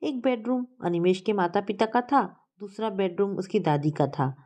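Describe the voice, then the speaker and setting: A woman's voice: medium pitch, normal speed, warm tone. A woman narrating a scripted story as a storyteller.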